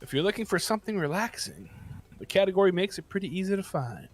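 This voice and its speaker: A man's voice: high voice, expressive, fast talker, soft background music. A man co-hosting a morning show in a casual, playful style of banter and news reading.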